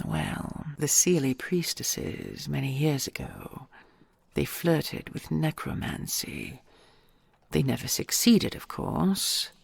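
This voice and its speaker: A woman voicing an ancient dragon queen in an audio roleplay, tender and regal, speaking in a husky, breathy half-whisper with stately, intimate phrasing. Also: extremely low pitch, darkish tone, no room sound, exaggerated voice. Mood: musing, grave. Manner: stately, measured, formal and intimate endearments.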